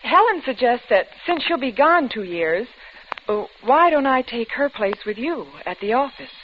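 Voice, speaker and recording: A woman's voice: fairly high pitch, expressive, moderate pace, close to an everyday voice. An actress playing an eager, persuasive woman in a 1940s radio drama. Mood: eager, coaxing. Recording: dull old radio recording, faint noise.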